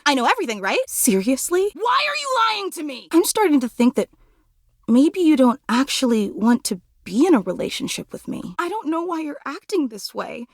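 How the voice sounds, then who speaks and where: Casting: an obsessive lovestruck superfan for a dating game. High, wildly swooping delivery, needy and theatrical. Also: female voice, big pitch swings, normal speed, dry recording, clean studio sound. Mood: defensive, hurt. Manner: theatrical, gushing, needy, melodramatic. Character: obsessive, clingy, gushing lovestruck female superfan ceiling fan. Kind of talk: video game character acting.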